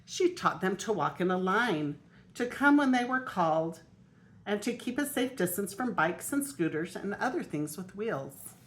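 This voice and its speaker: An older woman, a grandmother, reading a children's picture book aloud in an expressive way. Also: mid-range voice, normal speed, slight background noise. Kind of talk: storybook read-aloud.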